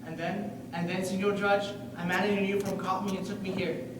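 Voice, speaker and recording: Teen guy playing pleading young boy, high voice, stage play, super echoey. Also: little pitch movement, fairly quick, close to an everyday voice. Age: teenager. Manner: earnest, projected, mixes Spanish into English. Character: earnest young refugee boy, pleading and defiant. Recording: very echoey, distant, noisy stage recording.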